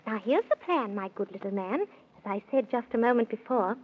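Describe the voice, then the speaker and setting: A good queen in 1930s children's radio. The voice is high and very animated, reassuring, with theatrical sing-song rhymes. The vintage recording is muffled. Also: moderate pace, husky. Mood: reassuring, instructive. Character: good, grandly reassuring storybook fairy-tale queen.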